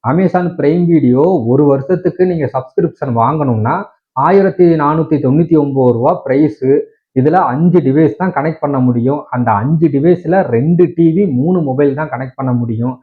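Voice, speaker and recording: A man's voice: fairly high pitch, normal speed, phone-quality sound. A man with a Tamil Nadu accent complaining to viewers in an indignant, argumentative way with many rhetorical questions. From Tamil Nadu, India. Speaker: tech video creator.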